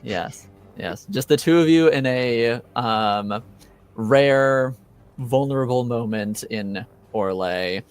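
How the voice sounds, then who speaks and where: A man's voice: medium pitch, takes it slowly, clear, resonant tone. A man acting as game master, improvising narration for a tabletop roleplaying game with the players.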